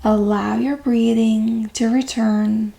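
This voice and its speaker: A woman leading a guided meditation as a coach, speaking gently and calmly with long, unhurried pauses. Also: fairly high pitch, creaky.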